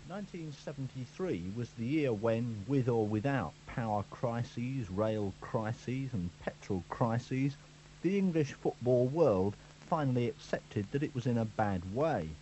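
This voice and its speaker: A man's voice: medium pitch, lively intonation, measured pace, warm tone, a little hiss or hum. A male sports reporter reading a scripted year-in-review with a dry, wry delivery. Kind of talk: scripted narration. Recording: dull lo-fi radio recording.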